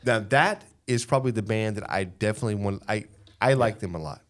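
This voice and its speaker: A man with a fairly low voice co-hosts a casual panel podcast. He talks in an emphatic, opinionated way, repeating phrases to press his point and often tagging on a "right?". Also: fairly quick. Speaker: podcast co-host.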